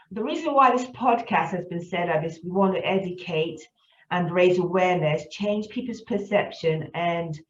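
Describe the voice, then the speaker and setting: A woman hosting an interview podcast in a formal, welcoming style, speaking haltingly and often rephrasing herself. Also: lowish voice, roomy sound, muffled recording.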